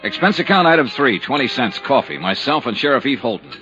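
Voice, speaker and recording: A man's voice: mid-range voice, sing-song, brisk, close to an everyday voice. An actor playing a wry male insurance investigator who narrates a case in an old radio drama. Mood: dry. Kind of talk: 1950s radio drama acting. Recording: dull old radio recording with music.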